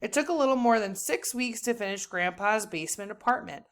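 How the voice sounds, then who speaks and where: A woman reading a children's book aloud like a teacher, in an expressive, deliberate storytelling manner. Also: mid-range voice.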